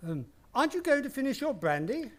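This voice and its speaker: An actor playing a miserly, menacing older stepfather in a suspense radio play, speaking with deliberate mockery. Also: extremely high pitch, sing-song. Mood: mocking, gloating. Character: sinister, miserly, taunting wealthy stepfather, older man.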